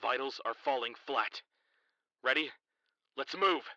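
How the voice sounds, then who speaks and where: Actor as a commanding paramedic, clipped orders, bright dry voice. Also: male voice, medium pitch, measured pace, dry recording, dull audio. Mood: urgent. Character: urgent male paramedic team lead. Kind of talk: audio drama acting.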